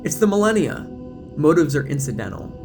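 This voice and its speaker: A man voicing an excitable teenage know-it-all movie geek and video clerk, lecturing emphatically in an audio drama. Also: fairly high pitch, a little fast, over music. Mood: defensive.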